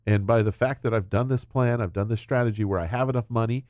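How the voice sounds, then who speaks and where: A man's voice: mid-range voice, steady tone, quick pace, darkish tone, dry recording. A middle-aged man, a financial advisor, explaining retirement investing in casual chat with hypothetical examples.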